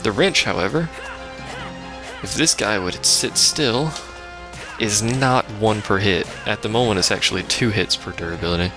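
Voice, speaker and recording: A man's voice: lowish voice, normal speed. A man giving casual, rambling live game commentary as a let's play host, with wry asides. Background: video game music.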